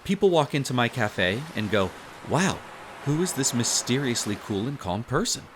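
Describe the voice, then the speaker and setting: A man's voice: mid-range voice, big pitch swings, normal speed, noisy background, close to an everyday voice. A man acting as a smitten young barista on a beach outing in an intimate roleplay audio, playful, bashful and caring as he rambles.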